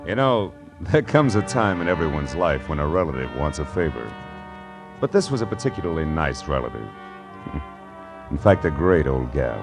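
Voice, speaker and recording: An actor playing a wry, laconic hard-boiled private detective, narrating in the sardonic style of 1940s radio crime drama. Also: male voice, extremely low pitch, sing-song, normal speed, heavily acted. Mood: wry, storytelling. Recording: vintage 1940s radio recording with music.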